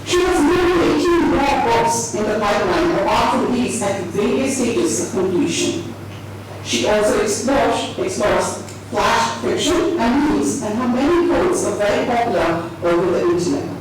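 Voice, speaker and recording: A woman's voice: a little high, animated, normal speed, heavy background noise. A woman formally reads a prepared introduction as presenter at a live event. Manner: formal, reading from a prepared text. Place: echoey event venue. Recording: very echoey, distant, loud music behind.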